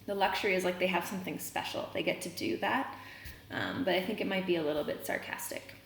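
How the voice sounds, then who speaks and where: A woman reading a children's novel aloud, pausing to explain words as a teacher would. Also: on the low side, vocal fry. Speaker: read-aloud reader and teacher. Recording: roomy echo, noticeable background noise.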